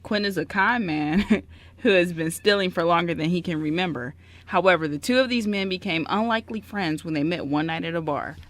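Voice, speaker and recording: A woman's voice: on the low side, fairly quick. A woman on a radio show reading a story synopsis aloud, stumbling now and then and correcting herself. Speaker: radio show cast member.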